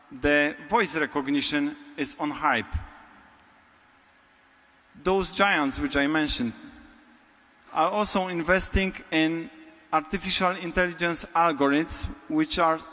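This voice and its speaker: A man with a Polish accent delivers a prepared keynote in a large hall. His voice sits a little high and bright, and he speaks very slowly, pausing between short phrases. A long reverb from the room trails after each phrase. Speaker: conference speaker.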